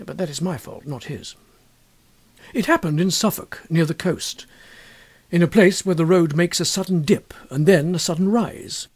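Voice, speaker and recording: A man with a husky voice narrating a literary ghost story in a measured, expressive way. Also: on the high side. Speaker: audiobook narrator.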